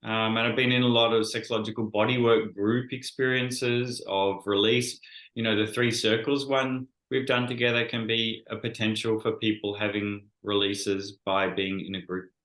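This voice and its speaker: Low-voiced man in an echoey room. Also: flat delivery, a bit bright. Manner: thoughtful, measured, with restarts. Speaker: guest, bodywork practitioner. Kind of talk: conversational interview.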